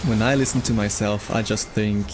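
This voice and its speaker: A young man from Germany with a German accent, streaming a game and chatting casually with viewers, musing out loud. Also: lowish voice, steady tone, a little fast, background noise. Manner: musing, thinking aloud. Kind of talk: casual chat with viewers while gaming. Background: game music.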